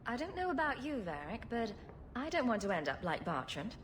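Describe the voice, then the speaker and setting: An actress playing a sarcastic, cool-headed rogue champion in a video game, with a dry manner. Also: female voice, on the high side, big pitch swings, brisk, background noise. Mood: firm, dry. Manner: dry, sardonic. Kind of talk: video game cutscene acting.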